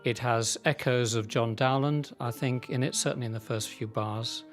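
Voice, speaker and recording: A man, an amateur musician, speaking in a measured, articulate way in an interview. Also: mid-range voice, steady tone, soft background music. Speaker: amateur mandolin player. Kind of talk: informal interview.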